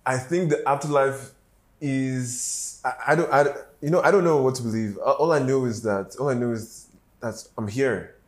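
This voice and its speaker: Young dude hosting, chill and slangy, just vibing. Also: male voice, medium pitch, moderate pace. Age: young adult. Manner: laid-back, slangy, lots of "mm" backchannels. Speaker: podcast host. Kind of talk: casual chat.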